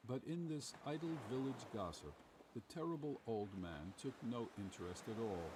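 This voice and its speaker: A man's voice: mid-range voice, expressive, normal speed, natural voice. A man playing the narrator of a macabre old tale, reading formal literary prose for a game. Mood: grimly wry. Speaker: story narrator. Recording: distant, noisy game audio with ambience.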